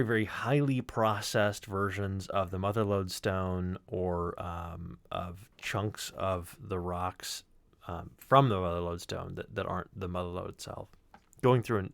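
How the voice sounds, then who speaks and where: A man's voice: fairly low pitch, creaky, no echo. A man giving a casual, rambling livestream walkthrough as a sound designer. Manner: informal, rambling, discursive.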